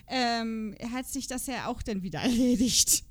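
A woman's voice: a little high, unhurried. A woman co-hosting a podcast in a casual chat, speaking in an informal, colloquial and playfully teasing way. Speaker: podcast co-host.

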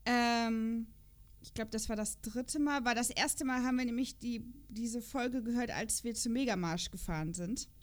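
A woman's voice: fairly high pitch, normal speed. A woman co-hosting a podcast, chatting casually about an audio drama in a rambling, self-correcting way. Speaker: podcast co-host.

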